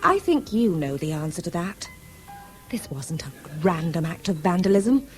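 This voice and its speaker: British lady companion, teasing but sensible, expressive up and down voice, 70s radio play. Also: on the low side, moderate pace, soft background music. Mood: firm, concerned. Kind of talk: 1970s radio drama acting.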